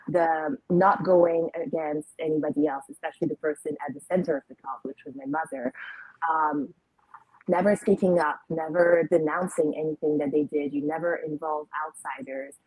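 A young adult woman from Lebanon, telling her life story as a podcast guest in a reflective way, pausing often with ums. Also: low-pitched, a little mellow, slightly rough, no echo, low-quality recording. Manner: reflective, frequent ums and pauses. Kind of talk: personal storytelling interview.

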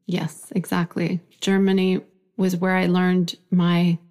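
A woman's voice: lowish voice, flat delivery, takes it slowly, a little mellow. A woman in her forties, from Iran, telling her life story reflectively in an interview. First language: Farsi. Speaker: guest. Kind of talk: conversational interview.

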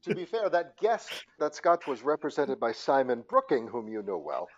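A man hosting a podcast, introducing his guest in a formal, measured way. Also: medium pitch, very animated. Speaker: host. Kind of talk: casual podcast conversation. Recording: dull lo-fi sound.

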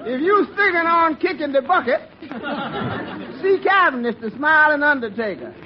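A man's voice: extremely high pitch, lively intonation, moderate pace, a loud crowd. A man playing a cheerful funeral salesman in an old-time radio comedy mock advertisement. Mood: cheery salesmanship. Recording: muffled, noisy radio recording, loud audience.

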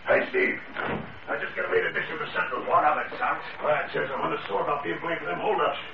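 A man's voice: on the high side, fairly even intonation, fast, vocal fry. An actor playing a nervous, dim henchman with tough street talk in a 1940s radio drama. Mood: uneasy. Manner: tough street grammar, questioning. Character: nervous, slow-witted male henchman crook. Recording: tinny, noisy old radio recording.

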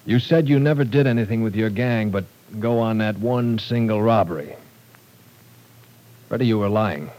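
An actor playing a calm, shrewd professor who works as a federal agent in a 1940s radio drama, speaking in a measured, firm way. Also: male voice, on the low side, close to an everyday voice, rough recording. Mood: steady.

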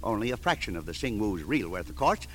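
Evasive, prissy museum man, very expressive mid-range voice, quiet little fusspot, old radio recording with light noise. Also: on the low side, fast. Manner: prissy, repetitive, reticent. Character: quiet little prim male museum official, evasive, fussy. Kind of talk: 1940s-50s radio drama acting.

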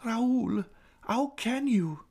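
Storybook heroine, played by a man: young, high-voiced, proud yet distressed, imploring and dramatic. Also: expressive, very slow, warm tone, full character voice. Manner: pleading, dramatic. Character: distressed, pleading young woman, proud and secretive. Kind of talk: dramatic audiobook character reading.

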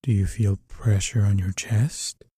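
A man with a very mellow, dark voice leads a guided sleep meditation. He speaks slowly and soothingly, with a soft husky edge, giving calm instructions. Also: on the low side, dry recording, clean studio sound.

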